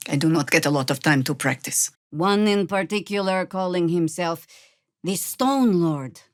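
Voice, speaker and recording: An actress playing a seasoned, middle-aged druid woman in a video game, a wry and stern resistance fighter who speaks with measured, commanding dryness. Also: lowish voice, animated, no echo, clean studio sound, natural voice. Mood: wry, firm. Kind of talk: video game character dialogue, dramatic acting.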